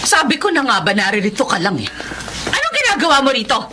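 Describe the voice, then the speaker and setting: A woman's voice: sits high, huge pitch swings, fast. An actress playing a hot-tempered, domineering Filipino mother who scolds in a radio drama. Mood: bossy, angry. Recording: clearly noisy background.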